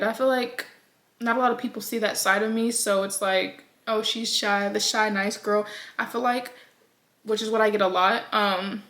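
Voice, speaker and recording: Young woman, twenties, vlogger, chatty and rambling, sharp bright tone, echoey room. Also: mid-range voice. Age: 20s. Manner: chatty, rambling, lots of ums and likes. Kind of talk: casual get-to-know-me vlog.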